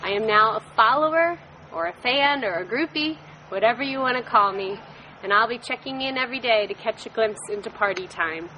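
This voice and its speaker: A woman reading aloud a heartfelt email she wrote, in a measured way, on stage. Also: fairly high pitch, lo-fi sound, slight background noise. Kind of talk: reading a letter to an audience.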